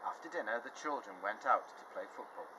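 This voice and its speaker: A man in his fifties reading a children's picture book aloud, phrasing it deliberately and expressively. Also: on the low side, expressive. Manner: deliberate, clearly phrased storytelling for young children. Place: outdoors in a leafy garden. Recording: outdoor ambient noise behind.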